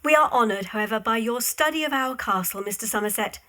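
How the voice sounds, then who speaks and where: A woman's voice: a little high, fast talker, sharp tone. An actress playing a self-assured young millionaire lady in a period audio drama, composed, gracious and forthright. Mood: amused, gracious.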